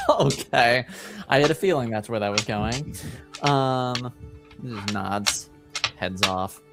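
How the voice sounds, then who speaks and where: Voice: mid-range voice, huge pitch swings, takes it slowly, long pauses. A player chatting casually during a tabletop roleplaying game. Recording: music playing behind the voice.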